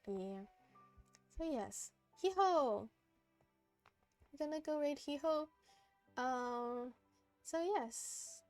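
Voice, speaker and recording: A woman's voice: high-pitched, a little mellow. A woman hosting a live game stream in casual, giggly chat, her voice tired and strained from hours of talking. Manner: giggly, chatty. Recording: background music behind close dry voice.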